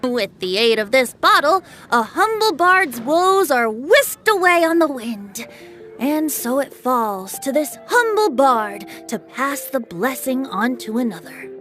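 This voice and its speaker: Carefree young male bard for a game: high, very lively, theatrical lilt, music underneath. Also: slow, heavily acted. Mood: grateful, jaunty. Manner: theatrical, lilting, breezy. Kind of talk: video game voice acting.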